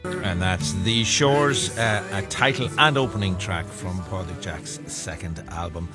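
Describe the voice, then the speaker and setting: A man's voice: deep voice, a little slow, over music. A man hosting a live radio arts show, steering a review panel by putting questions to each guest. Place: radio studio.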